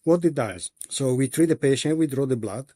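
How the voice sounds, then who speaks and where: A man from Italy with an Italian accent, answering interview questions as a company executive in a halting way with many pauses and restarts. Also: medium pitch, expressive, warm tone, no room sound, studio quality.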